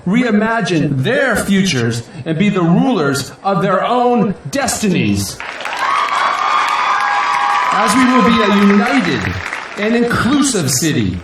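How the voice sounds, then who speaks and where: A man's voice: high-pitched, heavily acted. An actor playing a proud, idealistic male politician in an audio drama, giving a grandiose, earnest victory speech in declamatory rally style. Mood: rousing, fervent. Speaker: newly elected city council president. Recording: echoey hall, distant mic, crowd noise. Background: crowd and faint noise.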